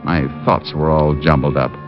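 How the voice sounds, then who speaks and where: An actor playing a shrewd, sardonic private detective with an American accent in a hard-boiled old radio drama. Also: male voice, extremely low pitch, fairly even intonation, moderate pace. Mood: brooding, intent. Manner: sardonic, teasing. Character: wry, shrewd, needling male private detective. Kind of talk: hard-boiled first-person narration, 1940s-50s radio acting. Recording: muffled old radio recording with music.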